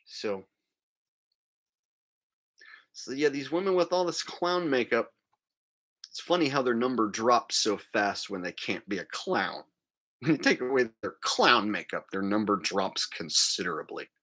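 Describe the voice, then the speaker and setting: A man hosts a livestream in a loose, ranting monologue. His pitch swings widely and animatedly over an average-pitched voice. He talks crudely and mockingly, often slipping into mimicry. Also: expressive, unhurried, pauses often, bright, forceful tone. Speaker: livestream host.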